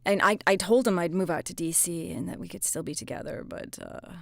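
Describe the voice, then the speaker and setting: A woman playing a guarded, rueful policewoman in her thirties in an audio drama, with a hesitant, halting delivery. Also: lowish voice, vocal fry, no room sound, clean studio sound. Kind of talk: naturalistic audio drama acting.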